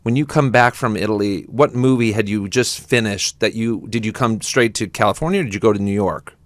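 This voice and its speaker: Lowish-voiced guy hosting a podcast, jokey, keeps cutting in with questions, dry sound. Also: brisk, dry recording. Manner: playful, interrupting to back up and clarify. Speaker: podcast host. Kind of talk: casual interview chat.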